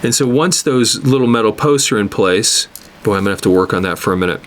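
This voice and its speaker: Low-voiced man giving a hands-on tutorial. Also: animated. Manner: halting, repeats words as he works. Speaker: instructor demonstrating cassette tape repair. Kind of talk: hands-on tutorial, unscripted explanation.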